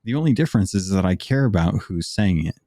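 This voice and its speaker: A man with a very low, mellow voice talks casually as a podcast guest, loose and rambling, often restarting his sentences. The sound is dry and close, with no room behind it. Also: fast talker, dry recording. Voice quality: deep voice. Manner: relaxed, rambling with many restarts. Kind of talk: casual conversation.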